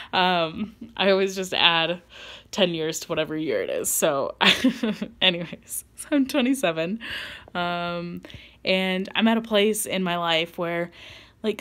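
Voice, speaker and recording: A woman's voice: lowish voice, a bit bright. A woman in her late twenties talking casually to her camera in a personal vlog, rambling with many ums and likes and laughing at herself. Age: 20s. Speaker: vlogger.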